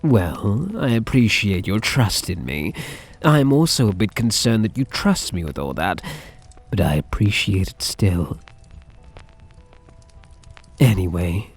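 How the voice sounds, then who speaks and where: A man playing a soft, lovesick young mafia heir in an ASMR roleplay, speaking in a hesitant, tender, halting way. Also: lowish voice, lively intonation, husky, faint music behind, exaggerated voice. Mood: hesitant, coaxing.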